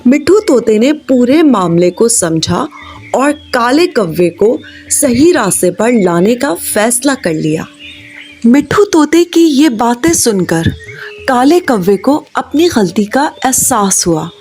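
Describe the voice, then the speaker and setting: A woman tells a children's story in a high, very animated voice. She reads her script at an unhurried pace as a narrator, with music playing underneath. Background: background music.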